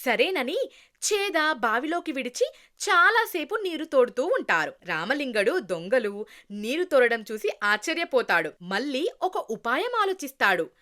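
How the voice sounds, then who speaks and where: Female storyteller for children's tales, high voice, very lively melodic delivery. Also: a little slow. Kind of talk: scripted narration of a children's moral tale.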